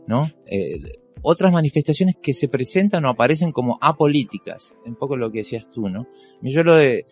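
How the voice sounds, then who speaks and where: Male academic, low register, hesitant reflective panel delivery, faint music bed. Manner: thoughtful, frequent hesitations and tag questions. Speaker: theatre researcher.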